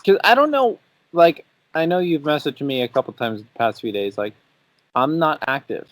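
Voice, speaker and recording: Young man with lively, expressive pitch. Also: normal speed, no echo, low-quality recording. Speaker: podcast host. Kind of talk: casual chat.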